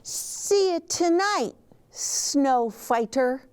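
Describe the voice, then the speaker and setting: A woman voicing an excitable, friendly male snowplow driver in a children's storybook, exclaiming and cheering on his buddy. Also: sits high, drawn-out words, no echo, pitched above the performer's own voice, heavily acted. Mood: cheery.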